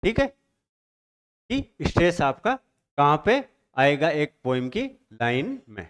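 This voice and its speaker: Guy teaching an exam-prep class, bit high voice, keeps checking in with students. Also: very animated, fairly bright tone. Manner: explains step by step, checks in with "ठीक है?"; reads English definitions slowly. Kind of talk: Hindi-English exam-prep lecture.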